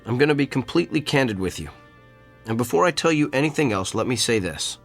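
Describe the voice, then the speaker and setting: An actor playing a candid, compassionate doctor in a radio drama. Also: male voice, mid-range voice, animated, fast talker, vocal fry, faint music behind. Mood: reassuring.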